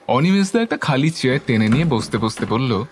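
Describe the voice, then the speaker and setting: An actor playing a curious young club member who narrates a ghost story in the first person, with scripted dialogue. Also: male voice, mid-range voice, expressive, brisk, slight background noise, natural voice. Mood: observant, curious.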